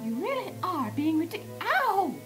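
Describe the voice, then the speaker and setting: A woman's voice: very high voice, sing-song, moderate pace, music in the background. A woman voicing a refined, good-natured but dismissive unicorn pony in a dramatized fan story, with genteel condescension. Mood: exasperated. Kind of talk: dramatized fan fiction reading.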